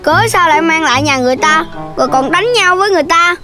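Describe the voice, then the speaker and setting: An actor voicing a silly, big-bellied young cartoon pig, childlike and self-important, over loud music. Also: very high-pitched, quick pace. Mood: pompous.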